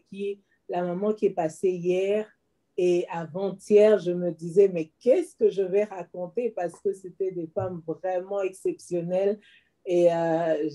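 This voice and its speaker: A woman's voice: mid-range voice, mellow. A middle-aged woman from Congo, telling her life story as an interview guest in a modest, earnest way.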